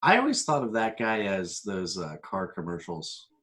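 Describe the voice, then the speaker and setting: Low-voiced man chatting casually. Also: huge pitch swings, moderate pace, fairly bright tone. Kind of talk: casual podcast chat.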